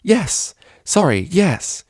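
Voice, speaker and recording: Guy doing a posh-mannered nervous girl pony student, pitched up, proper and apologetic, story reading. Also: very animated, drawn-out words, slightly rough, no room sound, studio quality, heavily acted. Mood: flustered, apologetic. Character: refined, anxious young female pony cellist student.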